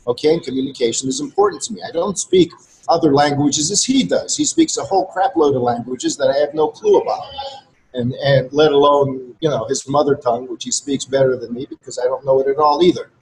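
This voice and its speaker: A man's voice: a little high, very animated, brisk, creaky, a little mellow, a little hiss or hum, poor audio. A man whose first language is Greek, a regular co-host, speaking to listeners in a blunt, earnest, lecturing way. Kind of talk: casual conversation to listeners.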